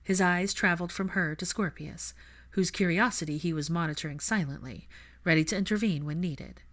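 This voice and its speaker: A woman's voice: lowish voice, fairly quick, a bit bright. A woman reading fan fiction aloud as a scripted story narration.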